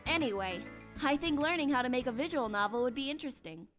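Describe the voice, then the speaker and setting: Defensive anime schoolgirl for a game, high voice, swoopy, bit of music under it. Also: expressive, quick pace. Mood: composed. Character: embarrassed, defensive young anime-fan schoolgirl. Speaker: visual novel game character. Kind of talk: scripted game voice acting.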